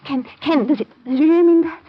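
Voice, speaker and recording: A woman's voice: high voice, quick pace, slight background noise, lightly acted. An actress playing a frightened young woman, fretful and urgent, in a 1950s radio drama. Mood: fearful. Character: frightened, breathless young woman held captive by spies. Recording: muffled vintage radio broadcast.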